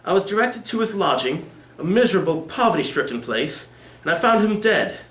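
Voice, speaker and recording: A man reading the part of a calm, discreet lawyer who speaks formally and meditatively in a novel. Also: high-pitched, a little fast, pitched above the performer's own voice. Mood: calm, measured. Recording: echoey room.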